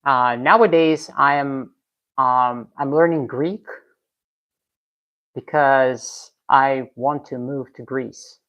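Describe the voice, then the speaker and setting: A woman's voice: extremely low pitch, steady tone, takes it slowly. A young woman from Russia, a native Russian speaker, chatting casually on a live stream and reading out and answering viewer comments. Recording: slightly dull lo-fi stream audio.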